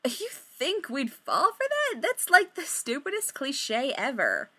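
A woman's voice: sits high, wildly swooping pitch, takes it slowly, pushed and bright, pitched above the performer's own voice, full character voice. A woman playing a scoffing young guy. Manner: scoffing, mock-offended. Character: young male bandmate, scoffing.

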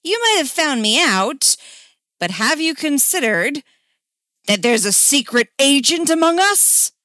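A woman voicing a defiant young man in a story, protesting his innocence with loud melodrama. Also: very high voice, wildly swooping pitch, very slow, gravelly, no echo, voice pushed up, full character voice. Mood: scheming, dramatic.

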